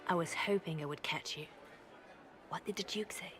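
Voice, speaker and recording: An actress playing a shrewd, discreet female spymaster in a fantasy game, measured and conspiratorial. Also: lowish voice, over music, lightly acted. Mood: discreet, serious.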